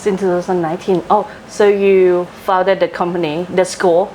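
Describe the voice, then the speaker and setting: A woman's voice: lowish voice, level tone, fairly quick, darkish tone, a little hiss or hum, poor audio. A woman with a Thai accent hosting a casual interview in a polite, effusive way. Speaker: host.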